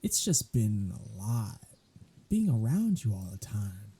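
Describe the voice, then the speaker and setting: A man's voice: on the low side, big pitch swings, slow pace, warm tone, breathy edge, exaggerated voice. A man playing a sardonic, weary vampire in an ASMR audio roleplay, talking in a flippant, conversational way. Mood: weary, subdued.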